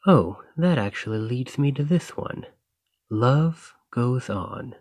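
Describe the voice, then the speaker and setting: Guy doing a sweet nerdy dragon librarian boyfriend, romantic asmr rp, slow soft talk, kinda shy, super dry sound. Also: medium pitch, no room sound. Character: gentle, bookish, lovestruck male dragon librarian. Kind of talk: romantic ASMR roleplay, speaking to a listener.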